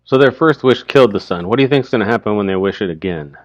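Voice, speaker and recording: A man's voice: lowish voice, animated, fast, muffled recording. A man giving casual spoken commentary on a story reading.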